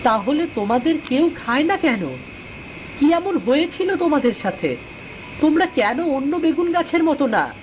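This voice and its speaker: A woman's voice: high voice, sing-song, normal speed, slight background noise. A woman playing a curious, fearless nine-year-old village child in a cartoon, eager and insistent. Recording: music behind the voice.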